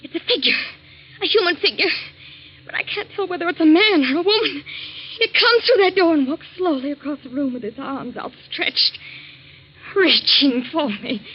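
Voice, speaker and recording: A woman playing a fearful, suspicious wife in overwrought, halting 1940s radio melodrama style. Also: high voice, animated. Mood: shaken, fearful. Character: tormented, fearful, suspicious wealthy young wife. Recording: muffled vintage 1940s radio recording.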